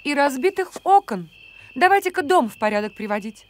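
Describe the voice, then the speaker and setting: A woman voicing a brisk, easily exasperated city mother in a cartoon, with a querulous way of talking. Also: high-pitched, big pitch swings. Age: adult. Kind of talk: cartoon voice acting.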